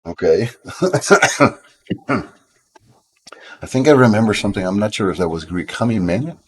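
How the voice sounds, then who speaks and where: A man's voice: lowish voice, animated, moderate pace, a bit bright, breathy edge. A Dutch man in his thirties, a game developer chatting on a live stream from home, rambling and self-deprecating with lots of fillers and restarts, coughing now and then. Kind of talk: casual live-stream chat.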